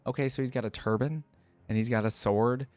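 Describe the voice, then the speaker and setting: A man gives casual reaction commentary at an ordinary middle pitch, thinking aloud in loose, colloquial phrases. The sound is very dry, with some music playing behind his voice. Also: fairly even intonation, fairly quick, darkish tone, no echo. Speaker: reaction video host.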